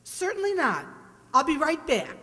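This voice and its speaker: A woman's voice: sits high, sing-song, moderate pace, sharp tone, exaggerated voice. A woman playing a breezy, wisecracking wife in a comic radio play, with a playful, teasing manner. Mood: flustered, brisk. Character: breezy, wisecracking wife posing for her portrait. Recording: reverberant hall stage recording.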